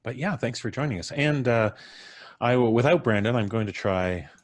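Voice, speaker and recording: A man's voice: medium pitch, animated, moderate pace. A man from Canada hosting a casual live stream, introducing guests in a jokey, showy announcer style.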